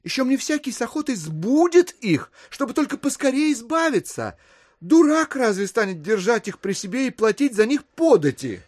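An actor playing a courteous but stubborn, evasive gentleman buyer in an audio drama. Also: very high-pitched, very animated, moderate pace, dry recording, studio quality, heavily acted. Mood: brisk, dismissive. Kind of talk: theatrical audio drama acting.

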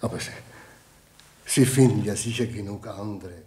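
An actor playing an evasive, self-justifying shopkeeper with a hesitant, defensive manner in a German radio play. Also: male voice, lowish voice, normal speed, mellow, natural voice. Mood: flustered, defensive.

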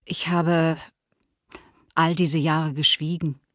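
A woman's voice: low voice, level tone, slow, fairly bright tone, no echo, studio quality. An actress playing a pained, restrained female survivor giving testimony in a documentary radio drama. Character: elderly woman survivor breaking long silence, pained, restrained.

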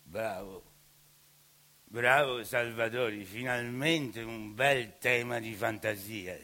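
An actor playing a kindly schoolteacher who speaks slowly and calmly. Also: male voice, mid-range voice, a little hiss or hum, pitched below the performer's own voice. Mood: measured. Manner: slow, measured, kindly. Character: calm male schoolteacher.

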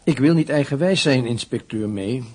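An actor in a radio drama playing a confident military camp commandant, brisk and officious, with a husky voice. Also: male voice, mid-range voice, expressive, darkish tone, close to an everyday voice. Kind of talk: radio play acting.

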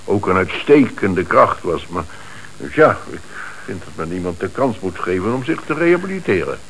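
A hissy old radio drama. a troubled bank manager with a very low voice. He speaks formally and hesitantly, as a lenient boss answering the police. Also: big pitch swings, normal speed, gravelly, fairly bright tone, heavily acted, poor audio. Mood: earnest, hesitant. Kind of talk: radio play acting.

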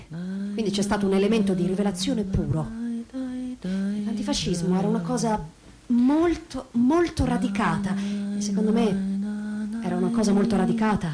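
A woman's voice: fairly high pitch, heavy background noise. A woman telling a personal memory with emphatic repetitions, in the manner of a staged reading. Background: music.

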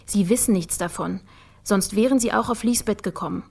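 Female investigator for radio crime drama: mid-range voice, clear-headed, matter-of-fact, methodical. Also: mid-range voice, fairly quick, a little mellow. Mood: analytical. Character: sharp, methodical woman investigator working for the prosecutor. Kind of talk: naturalistic German radio crime drama acting.

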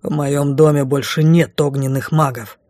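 Storybook king, hot-tempered and authoritative, barking delivery, mellow tone, played by a woman. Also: low voice, normal speed, husky, no room sound, studio quality. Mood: angry, commanding. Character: commanding, hot-tempered king, anxious father.

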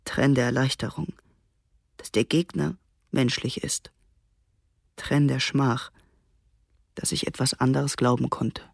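A frightened teenage soldier in a radio documentary, telling his story in a quiet, measured way. The voice is gravelly and husky. The read is dry and close. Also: medium pitch, steady tone, no room sound. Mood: fearful. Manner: quiet, measured first-person recounting. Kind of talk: German radio documentary reading.

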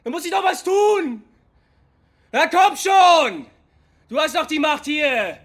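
High, tense, desperate young man. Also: expressive, slow pace, a bit bright, exaggerated voice. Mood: desperate fury. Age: young adult. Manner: raw, emotional, colloquial. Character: lovesick, desperate, hot-headed young man. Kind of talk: German radio drama acting.

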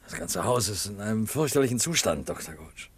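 An actor playing a police inspector in a German radio mystery, formal and polite, brisk but rattled and urgent. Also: male voice, lowish voice, lively intonation, husky. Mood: shaken. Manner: formal, polite, hesitant when shaken.

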